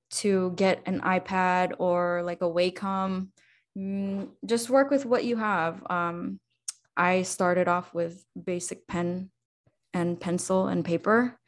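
A young woman artist guesting on a podcast, chatty and animated, peppering her advice with fillers. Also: medium pitch. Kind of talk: casual interview chat.

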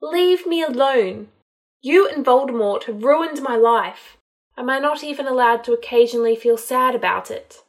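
A woman's voice: a little high, moderate pace, natural voice. A woman voicing a drunk, bitter young witch in an audiobook, defiant, sweary and emotional. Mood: angry, defiant. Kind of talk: dramatised audiobook narration.

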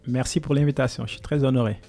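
Gravelly-voiced man from Senegal, flat delivery. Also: medium pitch, fast, soft background music. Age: 30s. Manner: earnest, motivational storytelling. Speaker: podcast guest, business consultant. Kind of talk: conversational interview.

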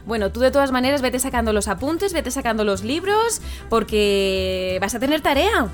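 A woman's voice: a little high, very animated, moderate pace, faint music behind. A middle-aged woman from Madrid, hosting live morning radio and teasing her guest, laughing often. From Madrid, Spain. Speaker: radio host.

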